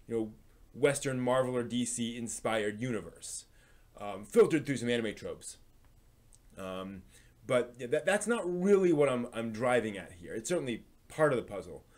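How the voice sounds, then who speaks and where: A man's voice: medium pitch, darkish tone. A man hosting a live stream, giving opinionated commentary on anime in a rambling, thinking-aloud way.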